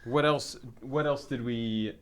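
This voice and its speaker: A man's voice: mid-range voice, steady tone, slow pace, crowd noise. A man hosting a casual movie review chat, talking in a rambling way and swearing freely. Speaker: podcast host.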